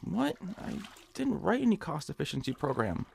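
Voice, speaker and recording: An actor playing a nervous, hapless hypochondriac in an audio drama, fretful and stammering. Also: male voice, on the high side, huge pitch swings, moderate pace, gravelly, slight background noise, heavily acted. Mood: eager, excited. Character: nervous, whiny nebbish hypochondriac man, eager and hapless. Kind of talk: audio drama acting in old-time radio suspense style.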